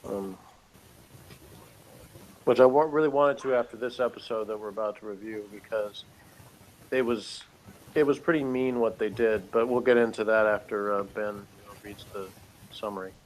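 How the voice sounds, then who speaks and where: A man's voice: fairly low pitch, moderate pace, long pauses, poor audio. A man co-hosting a TV review in casual, unscripted talk, rambling and a little hesitant. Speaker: co-host of a TV review show. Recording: faint background noise.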